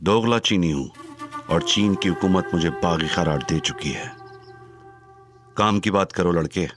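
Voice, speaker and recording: An actor playing a stern, menacing crime boss in an audio drama, deep and commanding. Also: male voice, unhurried, music in the background. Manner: stern, threatening, scornful. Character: menacing Chinese crime boss, older man.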